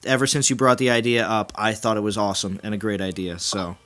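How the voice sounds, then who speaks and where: A man's voice: lowish voice, level tone, fast talker, a bit bright. A man chatting casually on a game stream, easygoing and agreeable, often repeating words as he restarts. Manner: easygoing, lots of yeahs and mm-hmms, stammering restarts.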